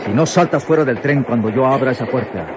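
An actor playing a menacing, murderous villain in a radio soap opera. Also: male voice, mid-range voice, quick pace, natural voice. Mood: threatening. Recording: very noisy old radio drama recording.

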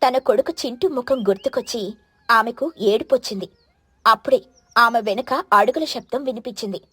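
A woman's voice: a little high, normal speed, a bit bright, breathy edge, dry recording. A woman narrator reading a scripted children's story.

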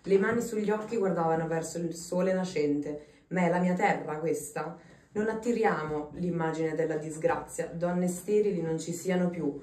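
Woman reciting a poem, mellow and distant. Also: fairly low pitch, slight background noise. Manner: measured recitation. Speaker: daughter reading a poem. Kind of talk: poetry reading. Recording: echoey room from far off.

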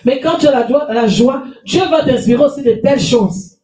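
High-voiced woman pastor preaching, with a cold. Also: moderate pace, mellow. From France. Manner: emphatic preaching, repeating phrases, calling for amens. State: has a cold. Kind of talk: sermon. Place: small church hall with stage and lectern. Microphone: handheld mic, far camera. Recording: echoey room, voice from a distance.

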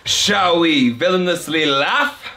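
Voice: medium pitch, slow pace, bright, forceful tone. A performer voicing a goofy young male villain, theatrical and whiny, in an exaggerated cartoon style. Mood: scheming, whiny.

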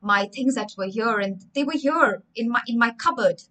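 A fussy, rambling older male craft teacher with an Indian English accent, stumbling over his words as he lists what went missing, as a woman storyteller puts him on. He sounds a little high and rather bright for a man. The lines come from a children's story read aloud. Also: expressive, normal speed. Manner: rambling, stuttering restarts.

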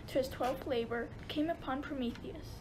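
A woman's voice: sits high, normal speed. A woman narrating a radio play in scripted storytelling style. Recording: noisy amateur recording.

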